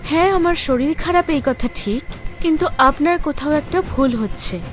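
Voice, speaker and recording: A woman voicing a beautiful, sorrowful ghost mother in a horror cartoon, with an eerie, measured delivery. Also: sits high, animated, faint music behind. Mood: puzzled, guarded.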